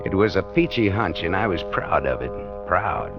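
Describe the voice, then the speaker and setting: A man's voice: low-pitched, sing-song, normal speed. An actor playing a wry, wisecracking American private detective who narrates his own case in 1940s radio noir style. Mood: wry, suspenseful. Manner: wisecracking, colloquial, dramatic. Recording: dull old radio recording with music.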